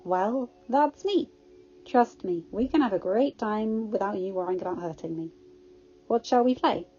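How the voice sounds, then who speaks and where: A woman voicing an excitable, friendly teenage boy with an earnest, bubbly, informal way of talking in a story reading. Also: mid-range voice, normal speed, breathy edge, lo-fi sound, soft background music. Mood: upbeat.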